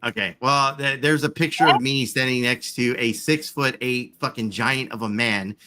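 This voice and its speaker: A man's voice: medium pitch, fairly even intonation, moderate pace, bright, forceful tone. A man in his forties chatting casually, rambling with lots of fillers and the odd swear. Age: 40s. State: chronic back pain. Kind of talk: casual chat.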